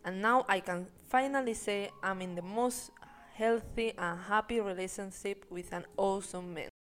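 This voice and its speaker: A woman in her twenties, raised in Spain, co-hosting a podcast and reading her personal story in an earnest, steady way. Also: medium pitch, moderate pace, faint music behind. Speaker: podcast co-host. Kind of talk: reading a self-introduction.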